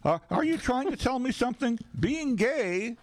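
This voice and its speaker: Excitable young girlfriend, played by a man in falsetto; teasing, exaggerated, swooping radio skit delivery. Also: normal speed, slight background noise. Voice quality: put-on falsetto, high girlish voice.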